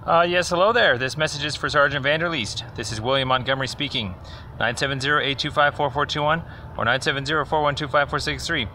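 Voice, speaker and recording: Male caller, mid-pitched and forceful, confrontational and sarcastic, rambling accusatory voicemail, slight noise behind. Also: fast talker, low-quality recording. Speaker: caller leaving a voicemail complaint. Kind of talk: rambling phone message, accusatory monologue.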